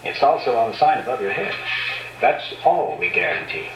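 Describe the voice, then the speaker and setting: A man acting in a radio drama as a smooth, officious, formally polite safari company salesman. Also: mid-range voice, lively intonation, moderate pace, fairly bright tone, recorded from a distance, soft background music, natural voice. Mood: glib. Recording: roomy, muffled playback from a radio set.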